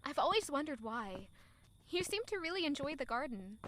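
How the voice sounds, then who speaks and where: A woman's voice: high voice, big pitch swings, normal speed, a bit bright, a little hiss or hum. A woman playing a romantic, curious young woman in an audio drama, narrating and speaking with a playful sense of wonder. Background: faint music.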